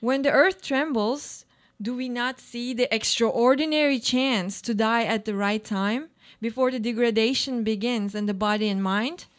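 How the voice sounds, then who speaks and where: A woman's voice: on the high side, moderate pace, natural voice. A woman playing a troubled, self-doubting housewife in a reflective, questioning radio play monologue. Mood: resigned, questioning.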